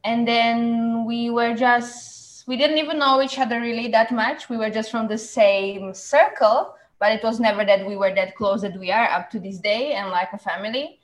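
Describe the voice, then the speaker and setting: A woman's voice: a little high, fairly even intonation, moderate pace, few pauses, reverberant. Chatty woman from Slovakia. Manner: chatty, searching for words, laughs easily. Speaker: podcast guest. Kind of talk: casual interview.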